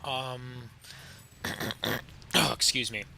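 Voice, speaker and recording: A young man with a slightly raspy, sick voice, casually commenting over his own gameplay footage with a chatty, laid-back manner. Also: medium pitch, fairly even intonation, extremely slow, pushed and bright, a little hiss or hum. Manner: casual, laid-back, chatty with frequent fillers and short laughs. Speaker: gaming channel host.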